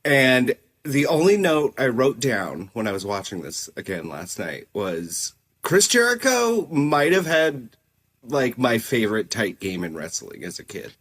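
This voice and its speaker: Animated man in his thirties, casual. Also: mid-range voice, measured pace, breathy edge. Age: 30s. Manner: excitable, swears, many likes. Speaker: podcast co-host. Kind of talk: casual chat.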